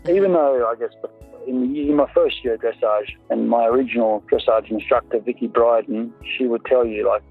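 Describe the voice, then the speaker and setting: A man's voice: medium pitch, fairly quick, vocal fry, husky. A man in his forties with an Australian accent, a guest in an interview, telling his story in an easygoing, rambling way with many ums. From North Coast of New South Wales, Australia. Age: 40s. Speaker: guest, dressage rider and coach. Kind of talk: relaxed interview chat. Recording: tinny phone-like line with faint music.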